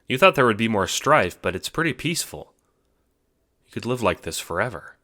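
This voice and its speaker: A man narrating an audio drama in an expressive, scripted storytelling style, addressing the listener as the hero. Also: on the low side, normal speed. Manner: animated, expressive. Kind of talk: scripted second-person storytelling.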